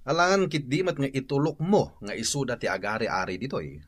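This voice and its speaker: An actor playing an inquisitive neighbour husband in an Ilocano radio drama. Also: male voice, medium pitch, lively intonation, fairly quick, breathy edge. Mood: curious. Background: loud music.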